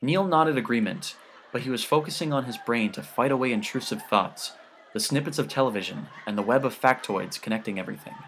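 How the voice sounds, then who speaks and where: A man reads a scripted piece of fiction as an audiobook narrator. His voice sits at an average male pitch. The style is literary storytelling. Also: a little fast, a bit bright, a little hiss or hum, low-quality recording.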